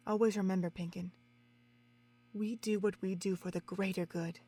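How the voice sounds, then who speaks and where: A woman playing a self-assured, zealous senior scientist mare in an audio drama, lecturing with condescension. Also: mid-range voice.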